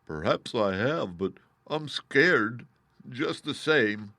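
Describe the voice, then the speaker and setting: A man reading aloud and voicing a timid lion who speaks slowly and hesitantly. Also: mid-range voice, lively intonation, pushed and bright, dry recording, exaggerated voice. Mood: anxious. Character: anxious cowardly lion, male.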